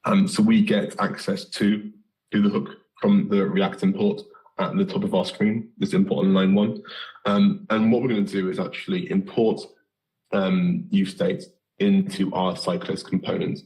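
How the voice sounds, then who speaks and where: A British man with a very low voice, hoarse and slightly nasal as he gets over the flu. He walks through a coding lesson in a relaxed way, filling pauses with ums and correcting himself as he goes. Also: rough recording. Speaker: coding instructor. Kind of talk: live coding tutorial.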